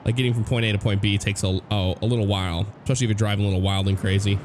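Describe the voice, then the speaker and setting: A young man from the United States talks through a driving game in a loose, rambling way, full of fillers and restarts. His voice sits on the low side and is hoarse from a cold. Loud engine and road sounds from the game run underneath him. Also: level tone, racing. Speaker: gameplay commentator. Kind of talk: casual driving game commentary. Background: car engine and road noise from the game.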